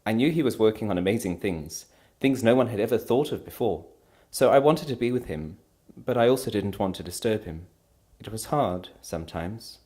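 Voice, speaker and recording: A man's voice: on the low side, animated, normal speed, a little mellow, voice pushed up, natural voice. A man voicing a proud, anguished, defiant wife in a storybook reading, impassioned and emphatic, trembling with feeling. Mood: pained, determined. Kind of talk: dramatic storybook character reading.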